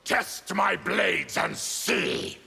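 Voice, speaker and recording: A man voicing a bitter, fierce fanged mutant warrior in a video game, with a gravelly growl and proud, menacing delivery. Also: high voice, expressive, bright, forceful tone, soft background music, full character voice. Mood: defiant, bitter. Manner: slow, menacing, proud declarations. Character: fierce, bitter, diseased Tarkatan mutant warrior with huge fangs. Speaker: retired soldier. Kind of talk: video game fighting banter.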